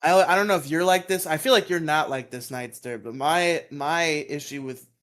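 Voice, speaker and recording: A man's voice: normal speed, fairly bright tone. Laughing, chatty man on a headset mic. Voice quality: fairly high voice. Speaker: podcast co-host. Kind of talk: casual chat.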